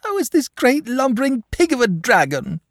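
Man doing a high-pitched, piteous little boy. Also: huge pitch swings, moderate pace, fairly bright tone, no room sound, studio quality, full character voice. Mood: sobbing indignation. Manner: piteous, whining pleading. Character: tired, tearful little boy, plaintive.

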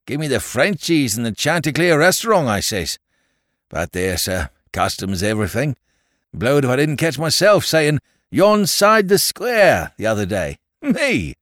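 A man's voice: mid-range voice, big pitch swings, a little slow, fairly bright tone, no echo, studio quality, voice pushed up. A man voicing an eager, talkative Cockney pub landlord of about fifty-five, in a London Cockney accent. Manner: chatty, eager, dropped grammar.

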